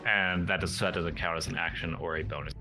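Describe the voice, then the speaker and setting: A man's voice: low voice, steady tone, fairly quick, bright, forceful tone. A man talking casually as a player in a tabletop game, thinking through his choices. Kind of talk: casual game chat with rules questions. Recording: music behind, online voice call. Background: music.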